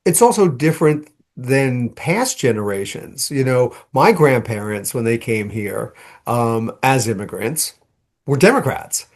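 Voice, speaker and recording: A man's voice: mid-range voice, animated, a little slow. Curious male podcast host. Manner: curious, conversational questioning. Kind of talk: interview questions and chat.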